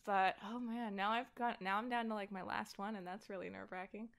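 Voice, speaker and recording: A woman with a bright, clear-edged voice at an average female pitch talks casually as a podcast guest. She is chatty and thinks out loud, working through her answers in a conversational way. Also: steady tone, brisk. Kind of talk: casual conversation about comics and manga.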